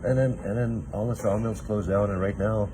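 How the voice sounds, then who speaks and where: A Canadian man with a very low voice talks casually, rambling through local memories and checking in with "eh". He sounds like an informal interviewer in a relaxed chat. The recording has clear noise with vehicle rumble. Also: moderate pace. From northern British Columbia, Canada. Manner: rambling, tags questions with "eh".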